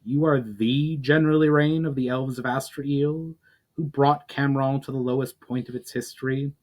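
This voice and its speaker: A man's voice: mid-range voice, fairly even intonation, normal speed, a little mellow, close to an everyday voice. A man voicing a human prince, formal and hesitant with a note of guilt, in a fantasy story reading. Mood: awed, guilty.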